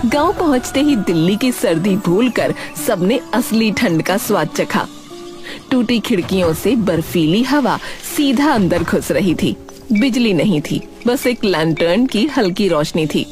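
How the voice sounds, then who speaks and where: A woman reading expressive scripted narration for a cartoon story. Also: mid-range voice, a little slow, soft background music.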